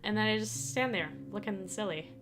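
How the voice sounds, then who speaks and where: A woman's voice: mid-range voice, brisk. A young woman, a native Danish speaker, chatting casually with viewers on a live stream, giggling often and restarting her sentences. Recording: streamed with guitar music behind. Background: guitar music.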